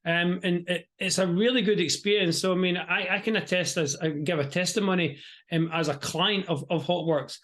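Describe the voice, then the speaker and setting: A man's voice: sits high, fairly even intonation, normal speed, fairly bright tone. A European-born man hosting a casual interview over a video call, chatty, often restarting and repeating his words. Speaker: interview host.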